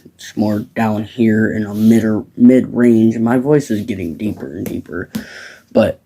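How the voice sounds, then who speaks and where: A man's voice: lowish voice, a little slow, dark tone, a little hiss or hum. A teenage boy from the Midwest, rambling casually about farms outdoors at dusk, with lots of fillers and restarts. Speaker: farm vlogger.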